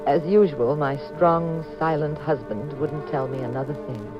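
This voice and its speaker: A woman's voice: low voice, measured pace. Muffled 1950s radio drama with music behind. a detective's wife, a woman of wry wit and keen curiosity who is easily made squeamish. She both narrates and acts in the mystery. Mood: wry, suspenseful.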